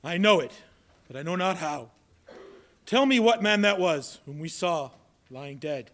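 An actor on stage playing old Scrooge, anguished and pleading with a spirit, in a large hall. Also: male voice, a little high, expressive, unhurried, fairly bright tone. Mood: fearful. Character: Scrooge, old miser now fearful and pleading. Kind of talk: live stage play reading. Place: large hall, live audience. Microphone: far camera.

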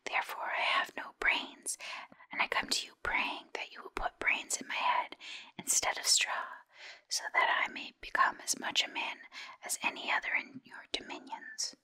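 A woman's voice: moderate pace, bright, forceful tone. Humble whispering scarecrow voiced by a woman. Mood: humbly pleading. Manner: earnest, deferential. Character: humble brave straw-stuffed scarecrow man seeking brains.

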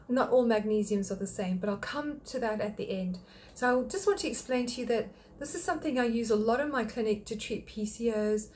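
An older woman from Australia who runs a thyroid clinic, talking straight to a webcam in a small home office and calmly listing health points one by one. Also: medium pitch. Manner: friendly, explanatory, lists points one by one. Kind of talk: direct-to-camera health talk.

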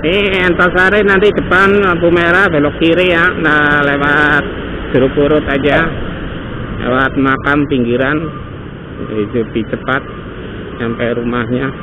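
A man's voice: on the high side, flat delivery, measured pace. A man from Indonesia chatting casually as a motovlogger while riding outdoors. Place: outdoors, riding a motorbike on city roads. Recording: dull helmet mic with loud traffic and engine noise. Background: loud engine, wind and passing traffic.

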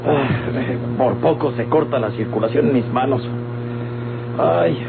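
A man's voice: mid-range voice, measured pace, vocal fry, over music. An actor playing a crafty old captive man in a Mexican radio drama, with a theatrical, pleading and protesting delivery and a Mexican accent. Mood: aggrieved. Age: older. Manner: theatrical, groaning, begging and protesting. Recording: muffled old radio recording with loud hum.

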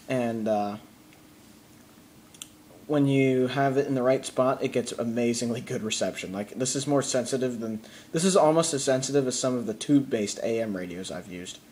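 A man gives a casual hands-on demonstration in a mid-pitched voice. The amateur recording is made indoors on a handheld device, with noticeable noise behind him. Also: moderate pace, darkish tone. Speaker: hobbyist reviewing a radio. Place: indoors at a wooden table. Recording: noisy amateur room recording.

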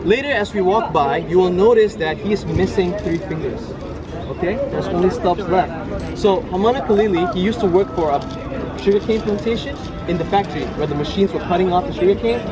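A man's voice: high-pitched, brisk, over loud music. A young man in his twenties from Malaysia, leading a tour group outdoors in a playful, joking way, calling the group "family". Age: 20s. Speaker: tour guide. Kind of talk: guided tour talk. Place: outdoor cultural park with food trucks. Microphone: camera a few steps away.

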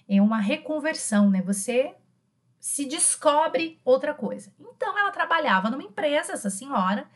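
A middle-aged woman with a Brazilian Portuguese accent teaching an informal online French lesson in a chatty, emphatic way, repeating key phrases. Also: high voice. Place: small indoor room with bookshelves.

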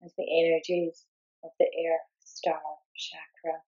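A woman leading a guided meditation, giving gentle, soothing instructions in short, unhurried phrases with long pauses. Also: deep voice, completely flat delivery, slightly rough, muffled recording.

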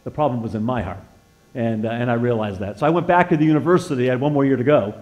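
An American man in his sixties giving an informal sermon with personal testimony as a guest preacher in a church, conversational and halting, repeating words as he feels his way and putting questions to his listeners. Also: mid-range voice, darkish tone, muffled recording. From northeastern United States. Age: 60s. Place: church sanctuary, indoors, at a lectern.

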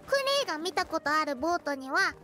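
A woman's voice: extremely high pitch, very animated, a little fast, bright, forceful tone, soft background music, exaggerated voice. A woman voicing an innocent, bubbly little girl in a video game, childlike and curious. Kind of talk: Japanese game voice acting.

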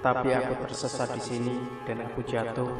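A ghost of a teenage schoolgirl, solemn and quiet, speaks her warning in a flat, level tone, as a male narrator puts her on. Her words sit over clear background music, in a horror storybook reading. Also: mid-range voice, a little fast, heavy reverb, recorded from a distance, lightly acted. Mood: mournful.

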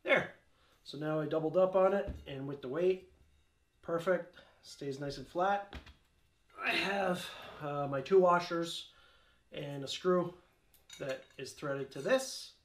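A man's voice: a little high, takes it slowly, long pauses. A middle-aged man hosting a casual DIY home gym vlog, chatty and offhand with small jokes, recorded on a camera mic a few meters away in a cluttered garage. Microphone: camera-mounted mic, a few meters off. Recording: echoey garage room with faint noise.

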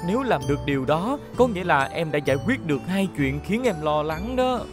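A confident young male police car from a kids' cartoon , voiced by a man. The voice is kind, reassuring and expressive, always ready to help. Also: on the high side, fairly quick, over music, close to an everyday voice. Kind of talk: animated children's cartoon dub.

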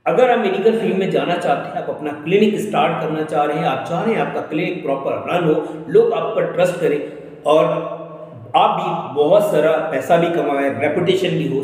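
An Indian man presents an educational voice-over in a fairly high-pitched voice. He addresses viewers directly and instructs point by point. The recording is heavily echoed, with a long reverb tail around every phrase. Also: darkish tone. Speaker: host of an educational career channel.

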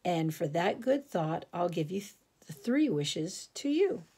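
A woman's voice: fairly low pitch, big pitch swings, measured pace, dark tone, slightly rough, full character voice. A woman reading a storybook aloud, voicing a cranky old female fairy who scolds in a stern manner. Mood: prim.

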